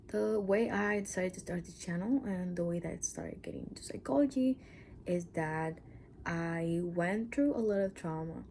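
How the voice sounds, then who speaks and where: A woman's voice: medium pitch, warm tone, a little hiss or hum. A woman from Mexico with a Mexican accent, Spanish as her first language, chatting in a casual personal vlog, rambling with asides and sometimes dropping to a whisper. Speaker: channel host.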